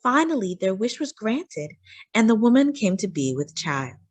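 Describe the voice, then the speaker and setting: Children's storyteller, female, animated pitch, dramatic emphasis, narrating fairy tales to young listeners. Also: normal speed. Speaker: children's read-aloud storyteller.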